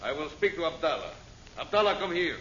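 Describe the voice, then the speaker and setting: An actor playing a mocking, imperious villain bent on power in an old-time radio adventure. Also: male voice, fairly high pitch, very animated, fast, loud music behind the voice. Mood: curt, commanding. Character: malignant male villain, mocking, dreams of ruling world. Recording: muffled, hissy old radio recording.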